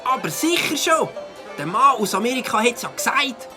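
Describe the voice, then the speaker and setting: An eager, naive cartoon boy chatters with lively swings in pitch. Music plays clearly behind the voice. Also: moderate pace. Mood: eager, impressed. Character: enthusiastic, trusting young boy, best pal. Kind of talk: cartoon character acting.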